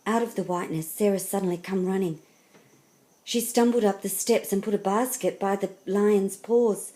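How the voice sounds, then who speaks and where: A woman reading a children's story aloud as narrator, with expressive, deliberate and dramatic phrasing. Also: medium pitch, rough recording. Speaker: storybook reader.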